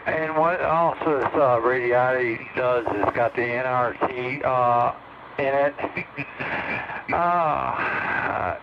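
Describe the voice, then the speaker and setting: A man's voice: medium pitch, slow, creaky. A man chatting casually as an operator over a CB radio. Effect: CB radio transmission. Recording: muffled, noisy CB radio reception.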